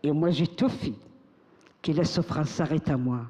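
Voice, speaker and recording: An older woman recites her own text on a stage, her voice soft and mellow. She builds rhythm by repeating lines like a chant. The sound rings with the long reverb of a big hall. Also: low voice, moderate pace. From Morocco. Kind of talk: spoken-word recitation to an audience.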